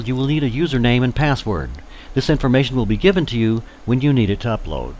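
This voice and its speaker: A man's voice: medium pitch, slight background noise. A man giving scripted, step-by-step instructional narration as a tutorial narrator for volunteers.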